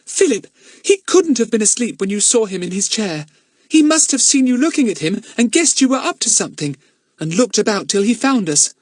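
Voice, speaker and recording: Brief: male reader doing a fiery young girl, pitched way up, storybook. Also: sing-song, brisk, no echo. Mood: indignant. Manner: high, emphatic and indignant. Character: outspoken indignant young girl.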